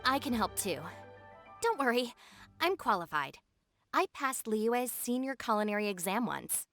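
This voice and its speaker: A woman's voice: on the high side, sing-song, a little slow, a bit bright, soft background music, exaggerated voice. A woman voicing a capable, sensible young gang deputy, practical and tactful, in a video game. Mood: reassuring, tactful. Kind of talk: English video game voice acting.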